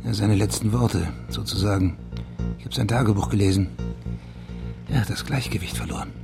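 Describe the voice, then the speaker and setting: Senior police official, male, controlled and brooding, gravelly low register, radio crime drama. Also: a little fast, music in the background. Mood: tense, weary. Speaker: actor in the lead role.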